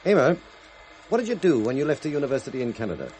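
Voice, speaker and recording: An actor playing a sardonic, insistent British police detective in charge, in a 1970s radio drama, with a husky voice. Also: male voice, medium pitch, very animated, racing, a little mellow, close to an everyday voice. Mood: needling.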